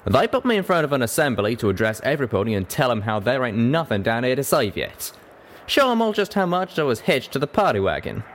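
A man's voice: medium pitch, big pitch swings, fast talker, slight background noise, voice pushed up, close to an everyday voice. Man voicing a drawling cowboy pegasus, folksy and bashful. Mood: wry, defiant. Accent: American South or West drawl. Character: young male pegasus cowboy, plain-spoken, bashful ex-captain.

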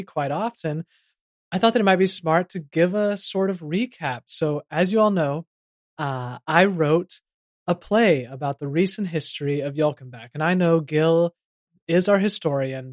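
A man's voice: fairly high pitch, no room sound, clean studio sound, natural voice. A man playing a hesitant, self-important small-town Z-list actor in a comedy audio drama, often stammering. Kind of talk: improvised-sounding comedic audio drama dialogue.

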